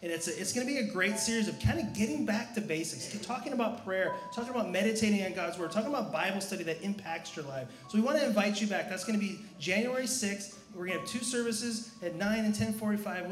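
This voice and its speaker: High-voiced man in an echoey church. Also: expressive, fast talker, hardly any pauses. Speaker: church staff member giving announcements. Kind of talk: informal church announcements. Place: church sanctuary during live service. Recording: echoey room, music behind.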